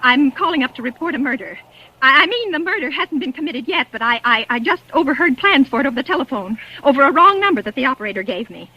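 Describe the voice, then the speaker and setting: A woman's voice: sits high, lively intonation, fast, highs cut off. An actress playing a nervous, demanding wealthy invalid woman in a 1940s radio drama, stammering, fretful and imperious. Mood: agitated.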